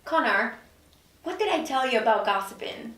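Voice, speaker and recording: A woman acting a sharp, guarded executive in a TV script table read, with a skeptical, sarcastic and commanding manner. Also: on the high side, animated, normal speed, recorded from a distance. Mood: brisk, commanding. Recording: roomy, off-mic, faint background noise.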